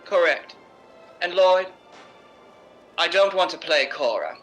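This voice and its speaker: Man playing middle-aged theatre diva: forceful bright voice, imperious, wry, emphatic radio-play delivery, faint music behind. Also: a little high, animated, slow pace. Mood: insistent. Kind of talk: recreated 1950s radio drama acting.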